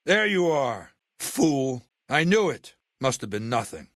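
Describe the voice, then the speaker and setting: An imperious male warlord from a video game, ruthless and learned. His voice sits at a medium pitch and is commanding and articulate, with open contempt for lesser minds. The sound is dry, with no room around it. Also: very animated, slow pace, a bit bright, dry recording, exaggerated voice. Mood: wary, searching. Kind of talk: video game character acting.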